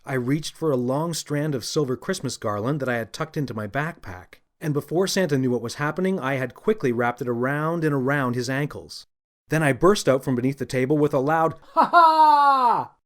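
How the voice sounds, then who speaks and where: A man narrating a scripted children's story in the first person, with an expressive, confiding storyteller manner. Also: medium pitch, fairly quick. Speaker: audiobook narrator and author.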